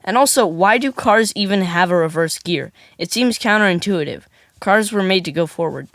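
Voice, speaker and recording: A man's voice: high voice, lively intonation, moderate pace, fairly bright tone, no echo, studio quality. An actor playing a stubborn, literal-minded boy in an audio comedy drama, snarky and questioning, with an American accent. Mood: argumentative, dry.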